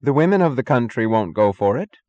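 A man's voice: sits low, lively intonation, a little fast, a little mellow, no room sound, lo-fi sound. A man voicing a self-assured, opinionated woman who makes pompous declarations in an audiobook novel.

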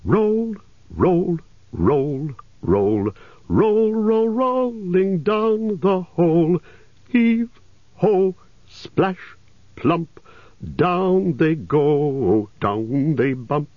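A man voicing merry, impatient elves in an audiobook, exclaiming loudly and teasingly. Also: fairly high pitch, expressive, unhurried, vocal fry, dry recording, narrow, tinny audio, voice pushed up, heavily acted. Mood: singing out merrily. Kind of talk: audiobook character voices.

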